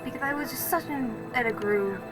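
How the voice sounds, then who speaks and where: A woman's voice: a little high, fairly quick. A woman doing casual voice-over narration for a craft video, rambling and correcting herself as she goes. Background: music.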